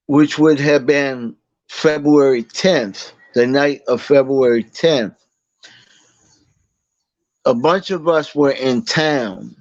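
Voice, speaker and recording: Slow, halting man. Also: medium pitch, no echo, highs cut off. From Boston, Massachusetts. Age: 40s. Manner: halting, many fillers and restarts. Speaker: guest. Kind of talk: casual interview storytelling.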